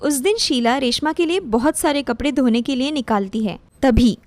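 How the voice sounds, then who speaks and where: A woman's voice: sits high, normal speed, no echo. A woman reading a scripted moral story as its narrator.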